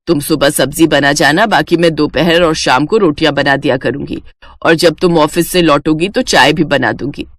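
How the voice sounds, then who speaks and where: A woman's voice: deep voice, normal speed, dry recording, clean studio sound, pitched below the performer's own voice. Older mother-in-law voiced by a woman narrator, conciliatory and coaxing, proud undertone, family storybook drama. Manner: hesitant, coaxing, repeating herself.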